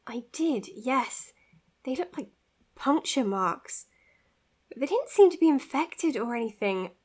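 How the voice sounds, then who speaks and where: A self-doubting young doctor in an audio drama. Her voice is high, and she speaks earnestly and hesitantly, with real care. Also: lively intonation, slow pace, a little mellow, husky, exaggerated voice. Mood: uneasy. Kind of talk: naturalistic audio drama acting.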